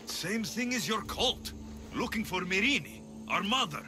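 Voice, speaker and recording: A defiant young Greek mercenary in a dramatic game scene. His voice is a little high for a man and moves widely between earnest appeal and challenge, with prominent music behind him. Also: very animated, measured pace. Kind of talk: video game dramatic acting.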